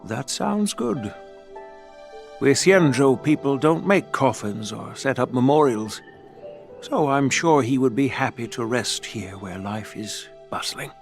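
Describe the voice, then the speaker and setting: A man's voice: medium pitch, expressive, a little slow, gravelly, faint music behind. An actor playing an elderly general and doting grandfather in a video game, patient and easily amused. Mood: warm, easygoing. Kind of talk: scripted game voice acting.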